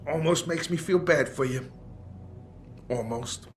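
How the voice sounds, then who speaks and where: An actor playing an embittered, sardonic male mercenary in a cinematic video game, hard-boiled and out for revenge. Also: a little high. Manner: sardonic, profane, defiant.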